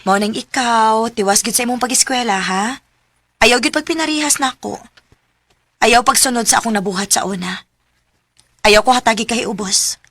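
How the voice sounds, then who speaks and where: An actress playing a caring single mother in her thirties, gently admonishing in a Cebuano radio drama. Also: a little high, moderate pace, pauses often, no echo, studio quality. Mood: earnest advice.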